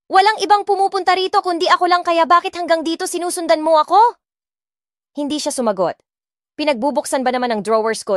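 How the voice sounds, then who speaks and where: A woman's voice: fairly high pitch, no echo, studio quality. A woman from the Philippines reading a first-person romance audiobook, dramatic and indignant, switching between Tagalog and English. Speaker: audiobook narrator.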